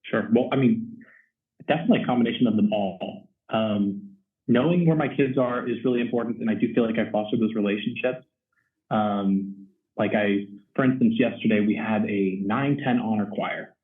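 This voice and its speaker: A young man from Texas, a first-year choir teacher, talks through his answers with plenty of ums and restarts. His voice sits a little low. He is heard over a distant, echoey, phone-like line. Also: normal speed. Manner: rambling with many ums and restarts. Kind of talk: casual interview chat.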